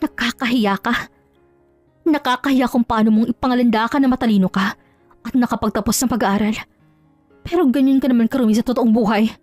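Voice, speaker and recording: Woman narrator voicing a defiant wronged wife, higher and fierce. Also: brisk, pauses often, a little mellow, no echo, lightly acted. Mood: furious. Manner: fierce, indignant. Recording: dry, faint music underneath.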